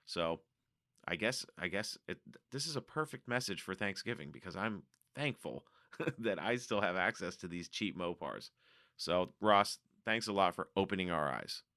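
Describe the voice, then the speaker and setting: A casual, easygoing man hosts a car podcast, reading listener stories aloud and riffing on them with a laugh. His voice sits low but rings bright and clean. It is recorded close and dry. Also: lively intonation, normal speed, dry recording. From North America. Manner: casual, chatty, laughs often. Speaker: podcast host. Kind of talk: reading listener letters and commenting.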